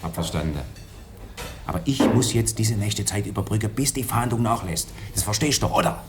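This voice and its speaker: An actor playing a cagey, wheedling brother on the run in a radio crime play. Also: male voice, on the low side, expressive, brisk, lightly acted. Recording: clearly noisy background.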